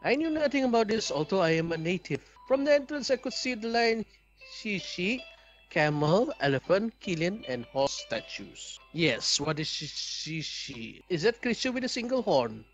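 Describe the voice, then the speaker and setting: A man reading a visual novel game aloud as an expressive playthrough narrator. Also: high-pitched, moderate pace, faint music behind. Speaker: video game player and narrator.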